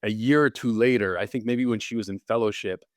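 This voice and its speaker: Low-voiced man, rambling with restarts. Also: fairly quick, dry recording, clean studio sound. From Israel. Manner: rambling, frequent restarts and repeated words. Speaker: podcast guest, surgeon. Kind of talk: casual interview conversation.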